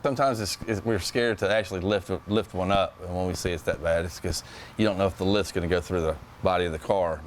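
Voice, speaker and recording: A man working as a service advisor, giving plainspoken, halting interview answers. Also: sits low, fairly even intonation, slight background noise. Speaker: auto shop service advisor.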